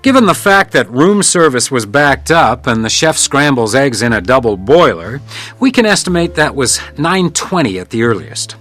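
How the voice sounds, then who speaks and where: An actor playing a composed, world-weary hotel house detective in a noir radio drama, wry and probing. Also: male voice, mid-range voice, sing-song, normal speed, a bit bright, faint music behind. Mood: wry, pressing. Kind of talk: radio drama acting with first-person noir narration.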